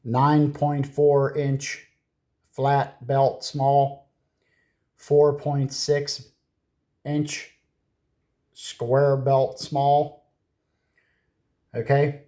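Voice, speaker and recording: A man with a slow, unhurried delivery and a dark, relaxed tone talks his way through a hands-on repair. He speaks in short, halting phrases, half to himself, and is casually profane. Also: mid-range voice. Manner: muttering, halting, talking to self in short phrases. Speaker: hobbyist repairer filming own repair. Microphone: handheld camera.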